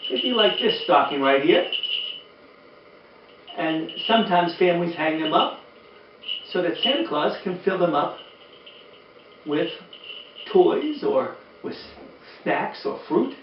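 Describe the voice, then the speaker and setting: A man reading a children's story aloud in an animated, exaggerated way, chatting directly to young listeners. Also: fairly high pitch, takes it slowly, long pauses, a little hiss or hum. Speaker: storyteller, former teacher. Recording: roomy, distant, dull sound.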